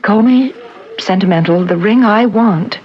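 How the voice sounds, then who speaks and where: A woman's voice: medium pitch, measured pace, lo-fi sound, a little hiss or hum, close to an everyday voice. An actress playing a guarded, touchy, romantic woman in an old-time radio drama. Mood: insistent. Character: guarded, romantic, touchy woman being courted, stubborn about her demands. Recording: band-limited old radio broadcast.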